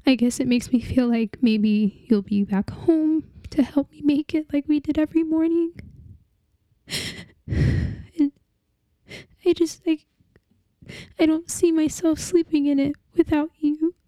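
A young woman playing a grieving partner in a dramatic monologue, with a halting, tearful delivery full of restarts. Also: high-pitched, steady tone, mellow, no room sound.

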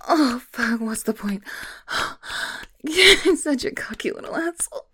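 A woman performing an intimate audio roleplay, whispering and moaning in a teasing, breathy manner. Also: high-pitched, animated, slow pace, pushed and bright. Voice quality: breathy, husky.

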